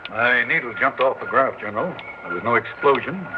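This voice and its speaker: An actor playing a puzzled scientist professor who explains things in a flat, matter-of-fact way. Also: male voice, mid-range voice, fairly quick, close to an everyday voice. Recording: tinny old radio broadcast with hiss.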